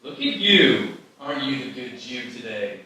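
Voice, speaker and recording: A man's voice: medium pitch, big pitch swings, moderate pace, sharp tone. A man playing a cruel, sneering teenage boy bully who taunts his victim in a live screenplay table read. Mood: mocking. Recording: echoey hall from far off, faint noise.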